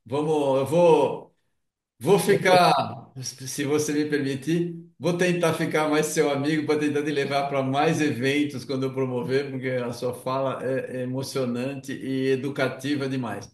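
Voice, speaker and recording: A man's voice: mid-range voice, fairly even intonation, measured pace, darkish tone, recorded from a distance. A man with a Brazilian accent, hosting an interview in a casual, conversational way. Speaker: interview host. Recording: roomy echo, away from the mic.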